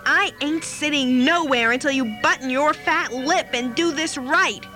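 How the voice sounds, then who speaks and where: A woman's voice: a little high, lively intonation, unhurried, over music. A woman voicing a feisty, stubborn young girl in a story, with a theatrical manner. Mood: angry, defiant. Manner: dramatic, swinging from sugary to scrappy.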